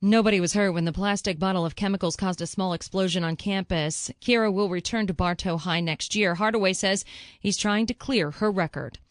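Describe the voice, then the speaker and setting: A woman's voice: mid-range voice, no room sound. American woman reading radio news, dry studio sound.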